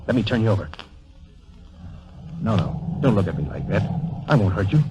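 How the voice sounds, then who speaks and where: A man's voice: fairly low pitch, sing-song, normal speed, music in the background. An actor playing a loyal, remorseful soldier comforting his comrade in a 1930s radio drama. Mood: pleading, remorseful. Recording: dull, lo-fi 1930s radio broadcast.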